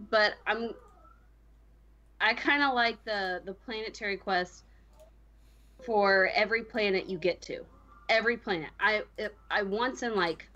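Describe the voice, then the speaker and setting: A woman's voice: medium pitch, a bit bright, muffled recording. A woman co-hosting a gaming podcast, chatting casually and with strong opinions, often voicing her own reactions as quotes. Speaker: podcast co-host discussing a video game. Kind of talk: casual chat.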